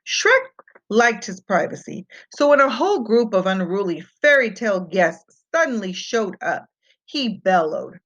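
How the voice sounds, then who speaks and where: A woman reads a scripted fairy tale for children. Her voice sits at a middle pitch and she stresses words expressively as a storyteller. The home recording is a bit dull and lo-fi. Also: big pitch swings, measured pace, a bit bright. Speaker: storybook reader. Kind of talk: scripted children's read-aloud narration.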